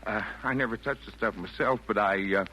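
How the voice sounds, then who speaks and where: A man's voice: fairly low pitch, brisk, sharp tone, slightly rough. An actor in a 1940s radio play voicing a kindly, jolly old man with genial, lilting, theatrical delivery of rhymed verse. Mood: genial, eager. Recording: noisy vintage 1940s radio broadcast.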